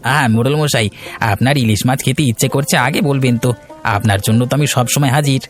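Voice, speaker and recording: A man's voice: mid-range voice, a little fast, close to an everyday voice. Village swindler for a cartoon, male actor: sly, pushy, mid-range voice, light music bed. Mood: fawning, wheedling. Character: wheedling, bullying village schemer. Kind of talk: Bengali moral-story cartoon dubbing.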